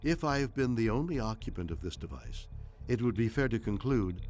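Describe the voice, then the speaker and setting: An actor playing an ancient, enigmatic alien entity in a game, speaking calmly and formally in measured, cryptic lines. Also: male voice, medium pitch, lightly acted. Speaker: voice pack character. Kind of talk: scripted game dialogue.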